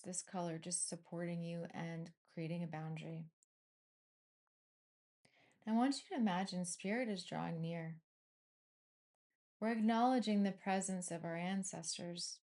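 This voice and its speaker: A woman leading a guided meditation in a soothing, unhurried manner, leaving frequent pauses between short phrases. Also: fairly low pitch, a little mellow.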